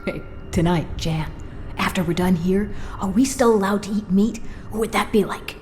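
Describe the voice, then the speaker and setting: A woman's voice: medium pitch, normal speed, slight background noise, close to an everyday voice. A woman playing a cocky, trigger-happy soldier with a dry sarcastic manner in a radio drama. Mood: sardonic. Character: sarcastic, trigger-happy female soldier who loves grenades. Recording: radio drama with loud action sound effects.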